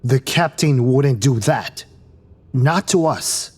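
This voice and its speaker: A man's voice: mid-range voice, lively intonation, slow, darkish tone, breathy edge. A man playing a protective, cautious crew member in an audio drama, speaking earnestly.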